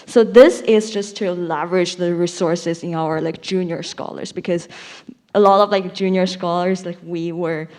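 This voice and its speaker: A young woman speaks on a conference panel as a junior scholar. Her voice is a little low for a woman, and she talks casually, with frequent "like" fillers. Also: fairly even intonation, moderate pace, a little mellow. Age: young adult.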